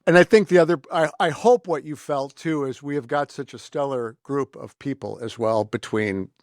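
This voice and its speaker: A man's voice: medium pitch, moderate pace, a little mellow, no echo, studio quality. A man hosting a podcast, talking in a casual, conversational way. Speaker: podcast host. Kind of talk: casual interview chat with some scripted appeals.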